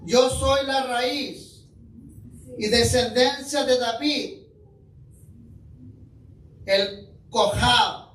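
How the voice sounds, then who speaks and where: A person slowly proclaiming a scripture passage in a measured reading style. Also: mid-range voice, fairly bright tone. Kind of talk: liturgical reading. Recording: echoey room, mic far off.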